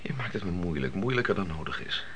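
A man's voice: sits low, lively intonation, fast, crowd noise. A man playing an earnest, questioning grown son in a radio play, hesitant and argumentative as he cautiously challenges his devout mother. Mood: hesitant, uneasy. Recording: noisy old radio play recording.

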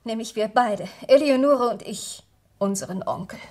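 A woman's voice: a little high, normal speed, darkish tone, lightly acted. An actress playing a grieving, emphatic young woman in a vintage German radio crime drama. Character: grieving, emphatic young niece of murdered man.

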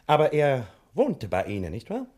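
Smug, wildly animated private detective. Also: male voice, medium pitch, moderate pace. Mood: self-important, insistent. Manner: smug, theatrical, condescending. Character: self-important, wily American private detective, a man. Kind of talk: 1960 German radio crime drama acting.

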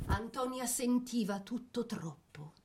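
A woman narrating a scripted biographical drama in a solemn, literary manner with measured phrasing. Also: fairly high pitch, lively intonation, a little mellow, a little hiss or hum.

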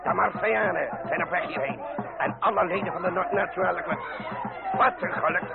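An actor playing a blustering, hot-tempered revolutionary leader in a Dutch radio drama, forceful and declamatory. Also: male voice, high voice, fairly quick, gravelly. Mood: furious. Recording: tinny noisy radio recording, loud crowd.

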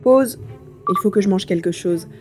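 A woman playing a determined, curious young hero in a science fiction audio drama, expressive and thinking aloud. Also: mid-range voice, normal speed, loud music behind the voice, lightly acted.

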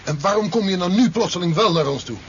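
Blunt, skeptical sheriff. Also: male voice, high voice, lively intonation, quick pace. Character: blunt, skeptical local sheriff, matter-of-fact. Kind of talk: 1970s Dutch radio drama acting. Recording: dull, noisy 1970s radio recording.

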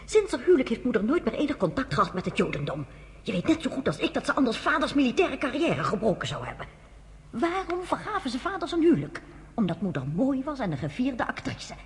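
An insistent, scornful society sister in a radio play. Her voice sits high and swings widely, with a long reverb around it. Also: very animated, fast talker. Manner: insistent, scoffing, persuasive. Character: the general's sharp, status-minded sister. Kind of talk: 1960s Dutch radio drama.

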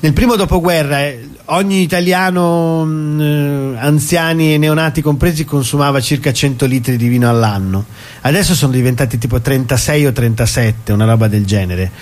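A man with a fairly high voice speaks as a guest in a casual interview. He explains at length in a chatty, meandering manner, like a performer describing his work. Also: normal speed, a little mellow. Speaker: stage actor, radio guest.

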